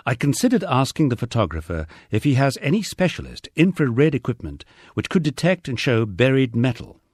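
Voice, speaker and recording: A man's voice: lowish voice, no echo. A man reading a first-person diary-style memoir aloud with dry, understated storytelling. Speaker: audiobook narrator.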